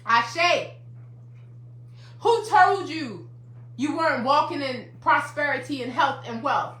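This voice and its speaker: A woman in her fifties gives a motivational livestream talk as a life coach, hammering her points home with repetition. Her voice is high and bright. The room sounds echoey. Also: lively intonation, takes it slowly. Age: 50s. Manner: emphatic, repetitive, preacherly.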